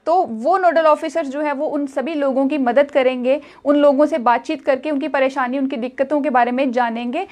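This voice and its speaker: A woman's voice: sits high, fairly even intonation, moderate pace, few pauses, a little mellow. A woman presenting an informal news update, explaining public information directly to viewers in a repetitive, explanatory way.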